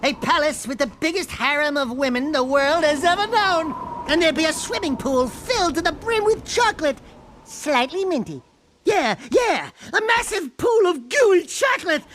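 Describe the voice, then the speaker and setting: A man's voice: very high-pitched, lively intonation, normal speed, fairly bright tone, slight background noise. A man voicing a cocky, wisecracking furry animal sidekick in a video game, mouthy and sarcastic. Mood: brash, comic.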